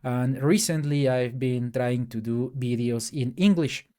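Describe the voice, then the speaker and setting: A man's voice: mid-range voice, measured pace, warm tone, clean tone. A man from Mexico, a native Spanish speaker with a Mexican accent, giving a casual, hesitant solo rant to camera with many fillers and restarts. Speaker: tech YouTuber.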